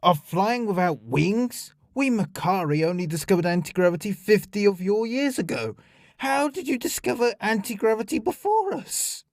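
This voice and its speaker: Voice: mid-range voice, animated, moderate pace, vocal fry, hardly any pauses, dark tone, no room sound, clean studio sound. A performer voicing a haughty, irritable male alien scientist in an audio story, with a sneering, indignant, emphatic delivery. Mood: astonished, indignant.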